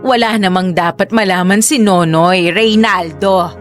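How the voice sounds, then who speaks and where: An actress playing a scornful, bigoted middle-aged mother in a radio drama, shrill and contemptuous, given to shouting tirades. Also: unhurried, lightly acted. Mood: sweet, scheming. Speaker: mother of Albert. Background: faint background music.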